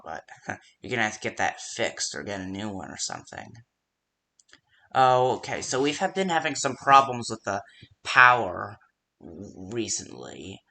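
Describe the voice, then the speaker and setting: A man plays a nervous male night-shift coworker on the phone in a fan-made horror game. His voice is fairly low yet bright and forward. He talks slowly and haltingly, stumbling through his security instructions. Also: creaky, exaggerated voice. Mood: hesitant, friendly. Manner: hesitant, halting, stammering delivery. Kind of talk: amateur horror game phone-call voice lines.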